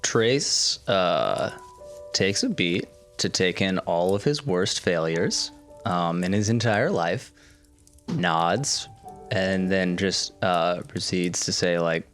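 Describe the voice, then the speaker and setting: A man playing a tabletop game, casually and haltingly narrating what his character does. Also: sits low, fairly bright tone, faint music behind.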